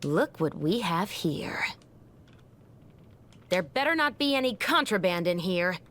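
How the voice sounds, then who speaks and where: Slow, lilting woman officer. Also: lowish voice, very animated, a bit bright, a little hiss or hum. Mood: wry, teasing. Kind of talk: video game character voice acting.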